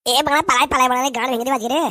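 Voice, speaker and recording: An actor performs a boastful, hot-headed son in a comic cartoon. The voice is high and very fast, excitable and full of repeated bluster. It is recorded very dry. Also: no echo. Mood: bossy. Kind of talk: comic dialect cartoon dubbing.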